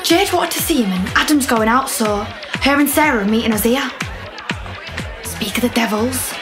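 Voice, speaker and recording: Actress as brash, high-voiced young party girl. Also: lively intonation, normal speed, loud music behind the voice, close to an everyday voice. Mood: gossipy. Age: young adult. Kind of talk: naturalistic student radio drama acting.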